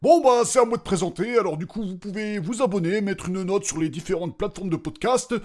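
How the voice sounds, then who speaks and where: A man's voice: sits high, fairly quick, a little mellow, soft background music. A man presenting a podcast in a casual, bantering, informal style.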